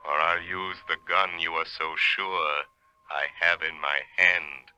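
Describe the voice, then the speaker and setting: An actor playing a menacing, commanding phantom avenger in a 1930s radio drama, speaking deliberately and ominously. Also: male voice, low-pitched, flat delivery, bright, forceful tone, a little hiss or hum, full character voice, poor audio. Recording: old 1930s radio recording.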